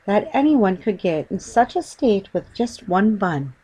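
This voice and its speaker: A woman voicing a kindly, forbearing middle-aged mother in a storybook, polite and gently reproving. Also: medium pitch, big pitch swings, unhurried, husky, low-quality recording. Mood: bemused.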